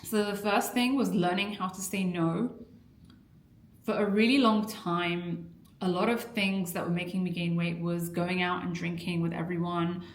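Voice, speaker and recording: A woman's voice: fairly low pitch, fairly even intonation, moderate pace. A young adult woman from an Iraqi family, a weight-loss coach talking candidly and earnestly to camera in a personal vlog. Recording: echoey room.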